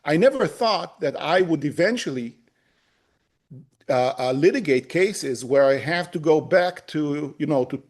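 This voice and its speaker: A man in his fifties from Israel, a native Hebrew speaker, talking as a guest in an interview, pausing often with fillers and restarts. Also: fairly high pitch, expressive. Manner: hesitant, many fillers and restarts. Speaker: human rights lawyer, guest. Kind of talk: conversational interview.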